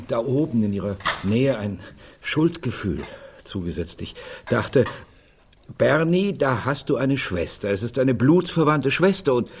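An actor playing a wry, leisurely older gentleman in a German radio drama, musing in a husky voice. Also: medium pitch.

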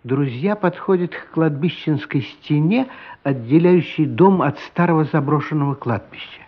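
A man narrating a radio play as a dramatic, expressive storyteller. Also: a little high, moderate pace. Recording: muffled radio play recording.

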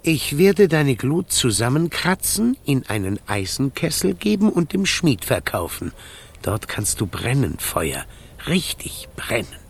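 An actor playing a sly, grumbling, self-pitying rogue in a children's radio play, theatrically muttering and exclaiming. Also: male voice, medium pitch, very animated, unhurried, vocal fry. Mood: scheming.

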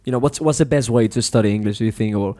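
A man who teaches English hosts a casual podcast interview with a student. His voice is warm and mellow. He talks loosely, restarting often and leaning on "you know". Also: fairly low pitch, very fast. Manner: rambling, many "you know" fillers and restarts.

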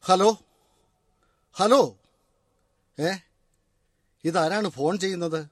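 A man's voice: sits high, very slow, no echo, clean studio sound, full character voice. An actor playing a puzzled, nervous man in a radio drama. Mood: bewildered. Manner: bewildered, nervous. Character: startled, puzzled man hearing a voice. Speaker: character in a radio play. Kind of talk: Malayalam radio drama acting.

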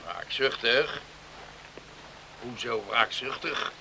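A man's voice: medium pitch, slow pace, sharp tone, a little hiss or hum, heavily acted, poor audio. An actor playing a stubborn, proud middle-aged father, speaking curtly and sternly in a Dutch radio drama. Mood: curt, dismissive. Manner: curt, stern, dogged.

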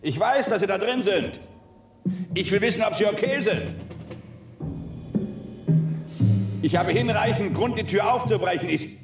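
An actor playing a grave, weary police detective in a German radio drama, brooding and earnest, with a gravelly voice. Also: male voice, sits high, moderate pace, over music. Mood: urgent pleading.

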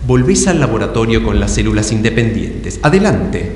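Low-voiced Argentine man hosting, echoey hall. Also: sing-song, moderate pace, a little mellow. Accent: Rioplatense Argentine. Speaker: host, narrator. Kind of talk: live show announcing. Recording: echoey hall with faint noise.

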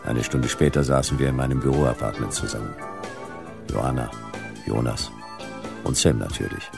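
A man playing a gruff, hard-boiled detective in a sci-fi radio drama, terse and laconic with a gravelly voice. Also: rumbling low, steady tone, moderate pace, music in the background. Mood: wry, laconic. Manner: terse, laconic noir delivery. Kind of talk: German sci-fi radio drama acting and narration.

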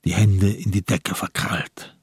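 Casting: male radio drama narrator, lowish and heavily husky, grave and measured, reading in sparse phrases. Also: fairly even intonation, no echo, clean studio sound.

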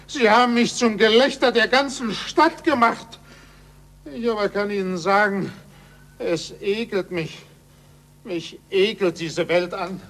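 Indignant male official, extremely high voice, pompous, huffy, disgusted, 1940s radio drama. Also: measured pace, a bit bright. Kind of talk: 1940s German radio play acting.

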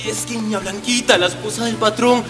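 A woman acting in a radio drama as an anxious young male farmhand, pleading in a hurried, respectful way. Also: mid-range voice, steady tone, close to an everyday voice. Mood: urgent. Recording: music and horse whinnies behind.

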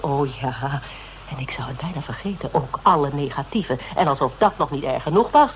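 An actress playing a shrewd, mocking female interrogator in a radio drama, with a needling, conversational manner. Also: low-pitched. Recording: noisy radio drama recording.

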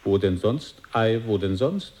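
An actor answering every question twice in a call-and-response radio play. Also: male voice, fairly low pitch, expressive, a little slow, darkish tone. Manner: repeats each answer twice. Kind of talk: sound-poetry call and response.

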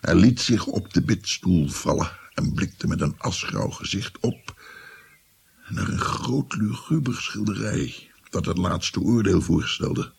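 An actor playing a shaken old grandfather who recounts a haunting memory in a dramatic, halting radio play narration. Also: low-pitched, full character voice. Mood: shaken, appalled. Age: older. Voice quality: husky, gravelly.

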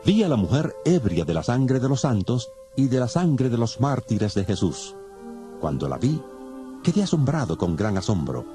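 A man's voice: mid-range voice, big pitch swings, normal speed. A man narrating scripture in a solemn, dramatic audiobook style. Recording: loud music under the voice.

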